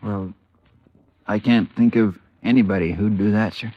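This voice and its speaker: An actor playing a shaken, deferential robbery victim, hesitant and subdued, in an old radio drama. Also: male voice, fairly low pitch, fairly even intonation, moderate pace, dry recording, lightly acted. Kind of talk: 1950s radio drama acting. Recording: dull vintage radio recording.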